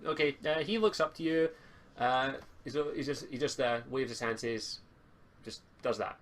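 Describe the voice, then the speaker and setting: A man improvising casual narration as game master of a tabletop roleplay, in a halting way. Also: medium pitch, a bit bright.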